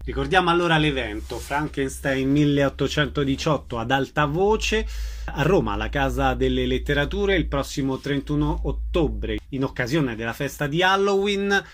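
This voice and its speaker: A man's voice: mid-range voice, expressive, moderate pace. A man hosting an informal radio interview, asking questions and recapping details for listeners.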